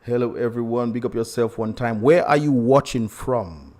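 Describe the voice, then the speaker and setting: A man's voice: medium pitch, moderate pace, a little mellow. A young man with a Dominican accent hosting a casual livestream, chatty and laughing often as he greets viewers. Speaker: livestream host.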